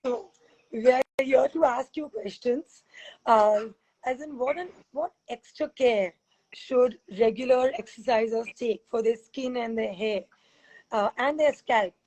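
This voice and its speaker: A woman hosting a relaxed interview over a video call, her voice somewhat high and husky from long days of teaching. She talks in a chatty, rambling way, with plenty of laughs. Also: a little slow, low-quality recording. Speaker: fitness expert and host.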